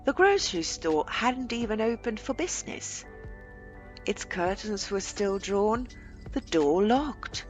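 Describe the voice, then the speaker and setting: A woman narrating a scripted short story aloud, with deliberate phrasing and dramatic pauses. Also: mid-range voice, big pitch swings, soft background music.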